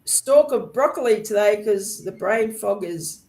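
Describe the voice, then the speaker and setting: A woman in her sixties with a medium-pitched voice. She takes her time in casual conversation, wandering and hesitating between thoughts. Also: expressive, measured pace, darkish tone. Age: 60s. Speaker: panel guest. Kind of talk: casual chat.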